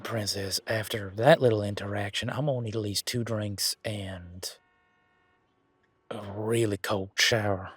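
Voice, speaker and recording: A man's voice: lowish voice, fairly even intonation, a little slow, fairly bright tone, husky, no echo. A man playing a polite, flustered werewolf boyfriend in a romantic audio drama, talking casually with nervous stammers. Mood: amazed, relieved. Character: Gavin, flustered, polite, excitable young male werewolf boyfriend.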